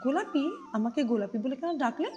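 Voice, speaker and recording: A woman's voice: sits high, lively intonation, brisk, darkish tone, lo-fi sound, soft background music. A woman voicing a proud, fussy young female piece of clothing in a story, dramatic and easily indignant. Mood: proud, curious. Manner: dramatic, indignant, peppers talk with English words.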